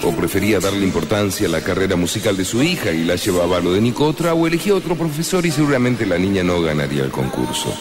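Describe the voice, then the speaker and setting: An Argentine man playing a pompous, melodramatic narrator of a parody radio soap opera, with theatrical, drawn-out phrasing. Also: sits low, big pitch swings, reverberant. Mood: dramatic. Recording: voice over loud music.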